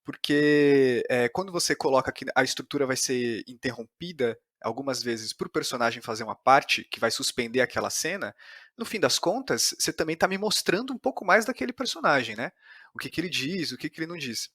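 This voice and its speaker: A man's voice: medium pitch, expressive, fairly quick, fairly bright tone, dry recording, studio quality. A man with a Brazilian Portuguese accent interviewing on a podcast, analytical and discursive, often questioning his own phrasing.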